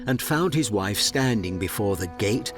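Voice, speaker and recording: A man narrating a fairy tale in a measured, dramatic storybook style. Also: on the low side, lively intonation, loud music behind the voice.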